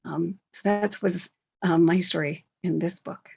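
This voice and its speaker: A woman with a low, gravelly voice, speaking earnestly and stressing her words slowly. She is heard over a video call. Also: steady tone, a little mellow. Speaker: co-author at a book launch. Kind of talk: casual online talk.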